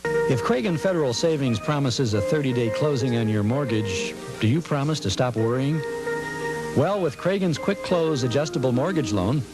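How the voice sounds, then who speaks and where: A man reading a bank commercial in a smooth, reassuring way. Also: mid-range voice, rough recording. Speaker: commercial announcer. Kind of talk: read radio ad.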